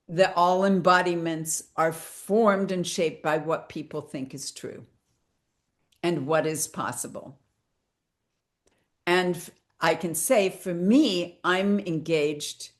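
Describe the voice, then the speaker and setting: A woman hosting a reflective conversation, speaking slowly and choosing her words with care. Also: low-pitched. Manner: slow, thoughtful, careful with words. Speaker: host.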